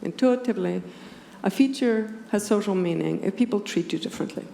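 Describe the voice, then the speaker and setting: A woman from Iceland with an Icelandic accent, a native speaker of Icelandic, giving a measured, precise academic lecture at a conference. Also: mid-range voice, dark tone. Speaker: academic philosopher presenting at a conference. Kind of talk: academic lecture, partly scripted. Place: indoor conference room with audience. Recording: roomy conference hall, clearly audible background noise.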